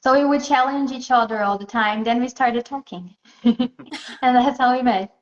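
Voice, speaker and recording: A woman from Southeast Brazil, answering interview questions in a hesitant way and pausing often to find her words. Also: fairly high pitch. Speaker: interview guest. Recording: echoey, muffled sound.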